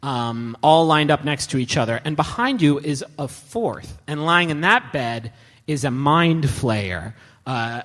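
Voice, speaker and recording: A man's voice: a little high, a bit bright. A man narrating a live tabletop game as game master, with dramatic, colloquial storytelling. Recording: echoey hall, live show with music.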